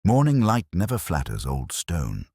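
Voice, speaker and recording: A man playing a weary, razor-minded police inspector, narrating a detective audio drama in a measured, reflective, literary way. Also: low voice, wildly swooping pitch, dark tone, husky, dry recording, clean studio sound, full character voice. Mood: brooding, measured.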